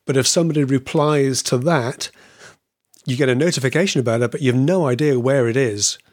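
A man's voice: medium pitch, brisk, no echo, studio quality. A man co-hosting a podcast in friendly casual chat, hesitant with lots of uh sounds and restarts, on a video call. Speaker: podcast co-host.

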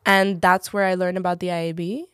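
A young woman actor with a British-tinged accent, chatting casually as an interview guest, full of "like" and quick laughs. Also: lowish voice, flat delivery, smooth, dry recording, clean studio sound. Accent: British-tinged English. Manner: chatty, filler-heavy, laughs often.

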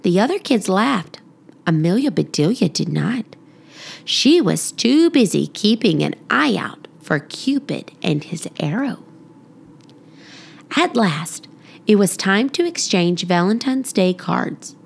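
A woman reading a children's story aloud as expressive narration for young listeners. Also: medium pitch, slow, creaky, slightly rough. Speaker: podcast storybook reader.

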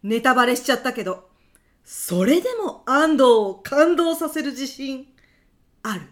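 Woman acting a high, swooping, theatrical lady boss. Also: expressive, unhurried. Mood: disappointed. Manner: melodramatic, refers to herself by surname. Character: theatrical, gossip-hungry female personnel manager, whimsical boss. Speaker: voice actor in a short comedy radio drama. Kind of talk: comedic radio drama acting.

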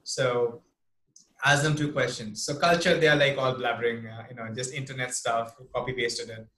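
Indian guy hosting, chatty and animated, echoey room sound. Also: medium pitch, moderate pace. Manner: animated storytelling, quoting others. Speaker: interview host. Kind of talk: casual interview chat.

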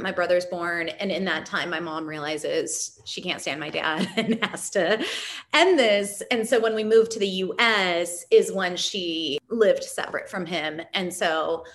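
A woman's voice: medium pitch, a little slow, fairly bright tone, slight background noise. A woman from California speaking candidly and reflectively as a guest in a casual interview, explaining her ideas as a spiritual teacher. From California, United States, immigrated at four.

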